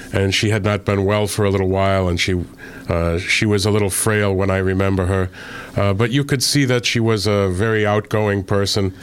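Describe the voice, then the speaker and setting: A man from Connecticut, an author being interviewed, speaking in a measured, thoughtful way with frequent filler pauses. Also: fairly low pitch, smooth. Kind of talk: relaxed interview conversation.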